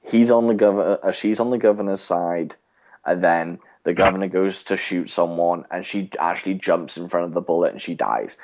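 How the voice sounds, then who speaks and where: A man co-hosting a podcast, casually discussing a TV show in a halting, thinking-aloud way. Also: sits low, a little mellow. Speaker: podcast co-host.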